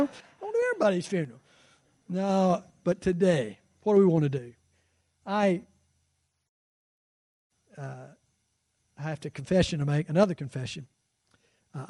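A man's voice: high voice, a little mellow, no room sound, studio quality. A man in his sixties preaching a sermon as pastor, conversational and fervent, with rising exclamations. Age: 60s. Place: church sanctuary.

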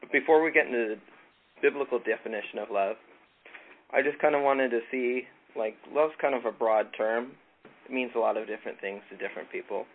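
A man from California leading a casual Bible study, relaxed and halting with frequent ums. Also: mid-range voice. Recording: tinny phone-like line with faint noise.

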